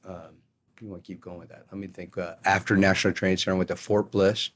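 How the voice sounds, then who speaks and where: A man's voice: deep voice, flat delivery, quick pace. A middle-aged American man telling stories from his career as a guest in a conversational interview. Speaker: podcast guest, retired army colonel.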